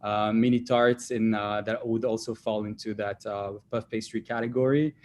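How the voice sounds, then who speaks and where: A man's voice: lowish voice, steady tone, normal speed, darkish tone, a little hiss or hum. A young man from Belgium, a startup founder answering interview questions in a hesitant way, with many fillers and restarts. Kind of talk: livestream interview.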